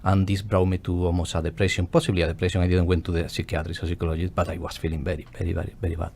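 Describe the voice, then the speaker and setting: A man's voice: low voice, level tone, racing, creaky, darkish tone, a little hiss or hum. A man from Spain with a Spanish accent, a guest on a radio interview, telling his story earnestly and searching for English words.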